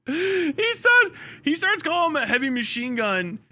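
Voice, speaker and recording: A man livestreamer giving casual commentary, ranting in a sarcastic, animated way with lots of swearing. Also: extremely high pitch, measured pace.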